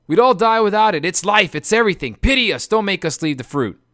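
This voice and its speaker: A man's voice: high voice, lively intonation, fast, pushed and bright, dry recording, studio quality, pitched above the performer's own voice. A man voicing frantic men who shriek and plead not to be taken away.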